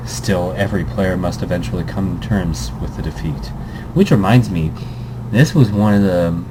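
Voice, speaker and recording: A man's voice: sits low, background noise. A young man hosting a let's play, reading a visual novel aloud with joking asides and fillers.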